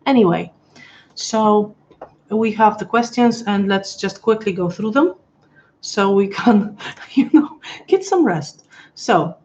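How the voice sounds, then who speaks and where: A woman's voice: medium pitch, animated, slow, a little mellow, highs cut off, a little hiss or hum. A woman hosting a live webinar from home, chatty and hesitant with frequent restarts, her voice a little worn as she is losing it. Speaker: webinar host. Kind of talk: casual live-stream walkthrough. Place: home room, pet nearby.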